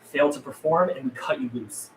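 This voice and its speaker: A man's voice: medium pitch, normal speed, close to an everyday voice. A man reading the part of a guarded, earnest zombie apocalypse survivor at a script table read, terse in manner. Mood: stern. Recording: roomy, distant mic, faint noise.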